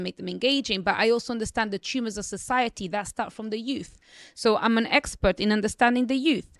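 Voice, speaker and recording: A woman's voice: fairly high pitch, fairly bright tone, dry recording. A young adult woman who grew up in the UK after immigrating, with English not her first language, talking confidently and emphatically as a podcast guest. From immigrant raised in the UK. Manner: confident, emphatic, repeats "you know what I mean". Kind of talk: conversational interview.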